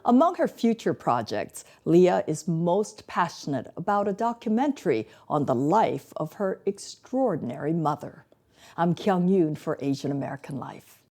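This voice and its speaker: A middle-aged woman correspondent narrating a scripted news feature with measured delivery. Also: lowish voice, sing-song, mellow. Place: living room set.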